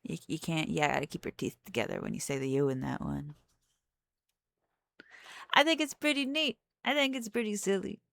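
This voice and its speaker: A woman's voice: fairly low pitch, fairly quick, vocal fry, pauses often, a bit bright, no echo. A woman streamer chatting casually and playfully while playing a game. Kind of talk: casual livestream chat.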